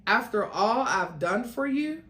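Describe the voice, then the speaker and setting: A woman's voice: a little high, animated, unhurried, a bit bright, voice pushed up. A woman voicing a confused, indignant boyfriend with a simmering temper, questioning and incredulous, in a dramatic story reading. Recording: roomy echo with faint noise.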